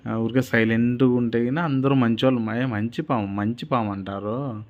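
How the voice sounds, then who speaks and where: A man's voice: medium pitch, fairly even intonation, moderate pace, phone-quality sound, close to an everyday voice. A man voicing a fearless old swami in a children's story, admonishing plainly and confidently with a Rayalaseema Telugu accent. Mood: stern counsel. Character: fearless old male holy man, sternly advising.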